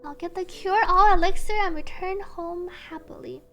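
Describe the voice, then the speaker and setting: Very high-pitched woman streaming a game. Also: fairly even intonation, sharp tone. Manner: reads story text slowly, then chatty asides. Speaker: streamer, VTuber. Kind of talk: game livestream commentary, reading on-screen text aloud. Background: game music.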